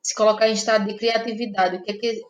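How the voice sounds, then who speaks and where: A woman's voice: mid-range voice, fairly even intonation, fast. A woman with a northeastern Brazilian accent from Ceará, speaking reflectively as an interview guest and often checking for agreement with small tag questions. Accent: Cearense northeastern Brazilian. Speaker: interview guest, playwright and actress. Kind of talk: conversational interview.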